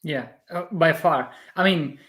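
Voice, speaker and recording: A man in his thirties with a German accent, talking casually as an interview guest and telling stories in an animated way. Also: fairly high pitch, a little slow. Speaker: podcast guest, crypto YouTuber.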